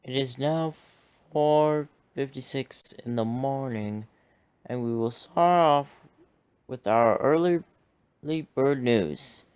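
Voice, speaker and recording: News anchor, male: mid pitch, very slow, clean resonant read. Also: darkish tone, smooth. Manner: measured newscaster delivery. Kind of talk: scripted news reading.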